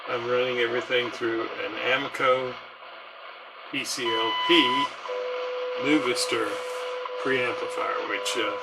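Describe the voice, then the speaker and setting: A man giving a casual, unscripted, halting commentary as he demonstrates a radio receiver as a hobbyist. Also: mid-range voice, fairly even intonation. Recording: loud radio static and buzzing throughout. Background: shortwave receiver noise and broadcasts.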